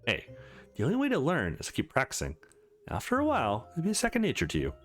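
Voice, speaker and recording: A man's voice: medium pitch, wildly swooping pitch, quick pace, fairly bright tone, faint music behind. A man voicing a tough young woman combat trainer in a visual novel, commanding, teasing and encouraging. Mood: instructive. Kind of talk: visual novel read-aloud.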